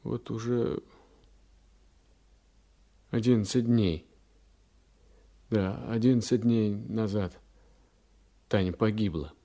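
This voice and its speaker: A courteous, mellow-voiced police detective. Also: male voice, fairly low pitch, steady tone. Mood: grave. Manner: polite, measured, tactful. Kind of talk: naturalistic radio play acting.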